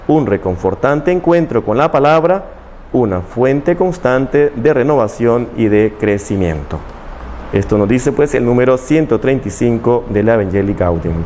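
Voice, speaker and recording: A middle-aged Salvadoran man, a Claretian missionary priest, explaining things in a calm, measured way and often ending with "¿verdad?". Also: medium pitch, slight background noise. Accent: Salvadoran Spanish. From El Salvador, Chalatenango.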